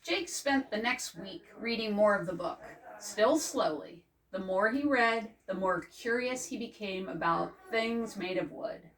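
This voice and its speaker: An older woman reading a children's picture book aloud as a librarian, in a measured, careful storytelling manner. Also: mid-range voice, fairly bright tone. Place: indoors before a green backdrop. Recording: distant microphone.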